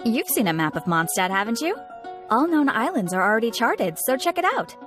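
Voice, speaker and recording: Young shopkeeper, high voice, lively intonation, composed, matter-of-fact, video game, music behind. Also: female voice, brisk. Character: composed, faintly amused young female souvenir shopkeeper.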